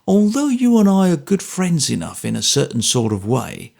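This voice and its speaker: A man's voice: medium pitch, huge pitch swings, normal speed, vocal fry, a little mellow, exaggerated voice. A man voicing a hearty, easygoing former soldier with a bluff, offhand, earnest manner.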